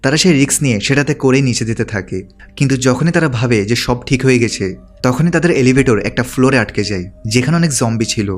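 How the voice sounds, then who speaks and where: A man's voice: mid-range voice, fast talker. A man delivering scripted narration as the narrator of a movie recap. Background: background music.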